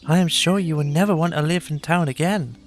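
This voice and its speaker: A man voicing a timid, earnest, cheerful young country mouse in an eager, gentle storybook manner. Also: fairly high pitch, brisk, voice pushed up, lightly acted. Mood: warm, eager.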